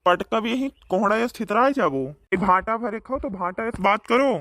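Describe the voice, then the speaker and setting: A man's voice: sits high, expressive, moderate pace, sharp tone, no echo, full character voice. A man voicing a boastful, bickering village man in a comedy cartoon, speaking with an Awadhi accent and taunting banter. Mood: quarrelsome. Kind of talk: comedic cartoon dialogue in rural dialect.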